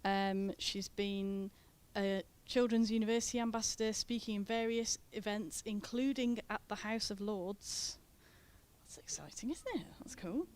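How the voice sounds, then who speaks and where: A woman's voice: mid-range voice, steady tone, a little slow, darkish tone. A woman hosting a poetry event who introduces the next performer in a chatty way, with fillers and self-corrections. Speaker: event host. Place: indoor event venue.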